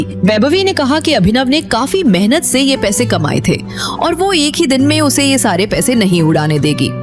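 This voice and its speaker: Expressive female storyteller with music behind. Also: fairly high pitch. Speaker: narrator. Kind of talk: dramatic scripted narration of an audio story.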